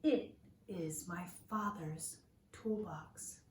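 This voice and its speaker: A woman reading a children's story aloud like a teacher, with deliberate, simple phrasing for small children. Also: fairly low pitch. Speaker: teacher reading a picture book to young children. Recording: roomy echo, distant mic, faint noise.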